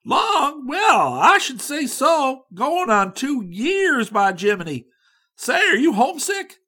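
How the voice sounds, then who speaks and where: A man voicing a brash, hearty young American male student who talks loud and slangy with an American accent. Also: high voice, big pitch swings, normal speed, voice pushed up, full character voice. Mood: boisterous. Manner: loud, boisterous, slangy drawl.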